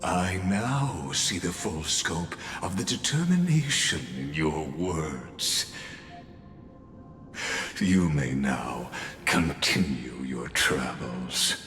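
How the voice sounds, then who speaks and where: An actor voicing an enigmatic, calculating cosmic wanderer in a video game, speaking formally and grandly with deliberate pauses. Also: male voice, rumbling low, expressive, a bit bright, exaggerated voice. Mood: condescending, calm. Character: enigmatic, calculating male cosmic wanderer offering sinister bargains. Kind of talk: scripted video game character dialogue. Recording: echoey, with music underneath. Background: background music.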